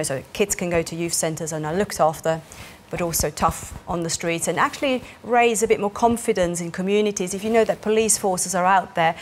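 A woman in her thirties from Switzerland, speaking with a Swiss German accent, answering interview questions as a parliamentary candidate in an animated way, recorded in a small studio. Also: lowish voice, slight background noise. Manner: animated, gestures while talking, many fillers. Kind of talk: political interview.

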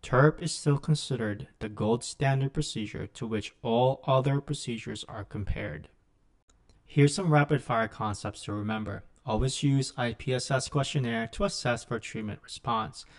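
Male, middle-aged, mid-pitched voice for scripted clinical teaching; measured, explanatory delivery. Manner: measured, instructional. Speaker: physician lecturer. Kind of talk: scripted medical lecture narration.

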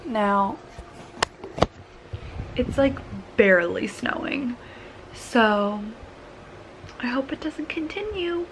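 A young woman vlogging casually from her bed with a croaky morning voice and a relaxed, chatty manner full of fillers, filmed on a camera held at arm's length. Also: a little high, extremely slow, long pauses, background noise. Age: young adult. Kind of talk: casual vlog chat. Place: bedroom, in bed. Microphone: handheld camera at arm's length.

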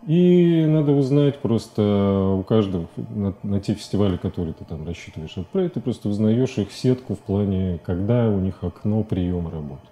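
Low, very mellow-voiced man, halting delivery. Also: lively intonation. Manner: halting, thinking aloud, colloquial. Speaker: interview guest, animation filmmaker. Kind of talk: casual studio interview talk. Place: TV studio, indoors.

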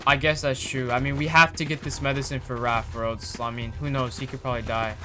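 A man improvising informal roleplay chat in a video game, reacting in an animated way. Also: medium pitch, fairly quick. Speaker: roleplay video host and player.

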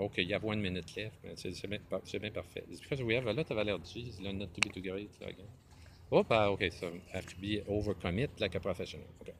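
A man in his fifties with a Quebec French accent, a native French speaker who rambles in a self-mocking way and mixes French words into his English. He films himself outdoors with a phone held low at arm's length. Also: fairly bright tone. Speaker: vlogger. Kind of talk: improvised comedy vlog, talking to an imagined partner. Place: outdoors by a stone wall under trees. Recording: outdoor noise and passing traffic.

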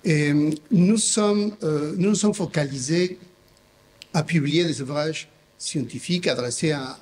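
A man's voice: fairly high pitch, a little mellow. A middle-aged man from Mexico presenting his publishing house at a conference in a large hall, speaking unscripted with many fillers and restarts into a desk mic. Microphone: desk microphone.

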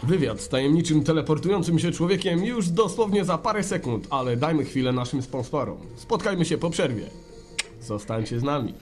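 A man's voice: a little high, expressive, fairly quick, a little mellow, faint music behind, lightly acted. A man playing a mock-serious, wordy and theatrically polite phone-in host in a parody radio play. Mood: showman-like. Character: glib, mock-solemn male radio phone-in host, secretly fed up.

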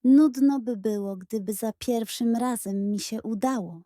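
A woman's voice: on the high side, a little slow, mellow, no room sound, studio quality, close to an everyday voice. A woman voicing a moody little girl in a children's audio story. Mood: relieved. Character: frustrated little dreaming girl building a castle.

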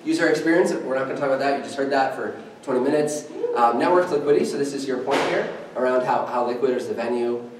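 Young male presenter, lively and chatty, off-mic in a reverberant meeting room, faint room hiss. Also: medium pitch, quick pace. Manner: energetic, conversational, engages the audience with questions. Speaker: startup presenter at a meetup. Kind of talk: conference presentation. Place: meeting room with a screen and podium. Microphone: far camera, no mic. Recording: echoey room from far off.

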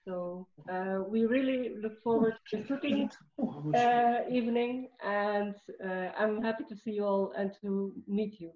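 A woman from Belgium in her fifties introduces herself on a video call, pausing a lot and hesitating often. Her voice has a middle pitch. The sound is roomy and dull. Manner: hesitant, many fillers. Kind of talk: casual chat. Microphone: video call laptop mic.

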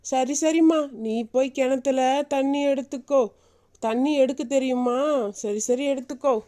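A woman voicing a folksy, fussing elderly village grandmother in an animated story. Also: high-pitched, moderate pace, close to an everyday voice. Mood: chatty, reassuring. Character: concerned elderly village grandmother, easily alarmed.

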